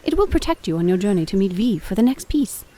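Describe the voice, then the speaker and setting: A woman's voice: medium pitch, very animated, fast talker. A woman voicing a wise, earnest fantasy creature with a solemn, theatrical manner. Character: wise, earnest female otter, fantasy keeper or healer. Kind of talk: fantasy audio drama acting. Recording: loud music behind.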